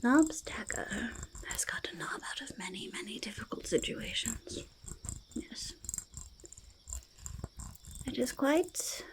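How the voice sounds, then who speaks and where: A woman voicing a quirky, eager little goblin in a soft-spoken ASMR roleplay, creaky and whispering, with halting, repetitive speech. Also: fairly high pitch. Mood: pleased. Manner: halting, repetitive, third-person speech with drawn-out words. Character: quirky, eager, faintly menacing little goblin, friendly to humans. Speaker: ASMR performer in character.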